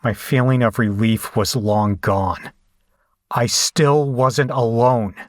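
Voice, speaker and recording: A man's voice: medium pitch, slow, no room sound, clean studio sound, natural voice. A man playing a shaken horror survivor, narrating a bloody escape in a grave, dramatic audio drama style. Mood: rising dread.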